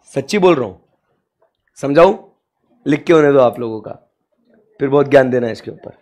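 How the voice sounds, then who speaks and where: A man's voice: mid-range voice, expressive, darkish tone. A young man lecturing a class with a sore throat, mixing Hindi and English and quizzing students with rhetorical questions. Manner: asks students rhetorical questions, drawn-out emphatic English phrases. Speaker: commerce lecturer.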